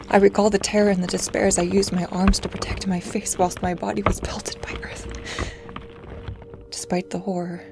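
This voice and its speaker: Woman doing a scared confused patient in a horror story, low flat whispery and haunted, music under it. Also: creaky. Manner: hushed, haunted, often whispering. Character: confused, frightened patient waking blind and immobile. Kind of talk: first-person horror audio fiction.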